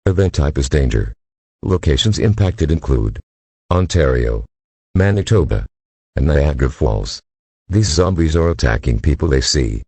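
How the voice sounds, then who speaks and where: A man's voice: very deep voice, animated, moderate pace, darkish tone, slightly rough, no echo. A man delivering a scripted emergency broadcast announcement in a stern, formal, synthetic-sounding manner.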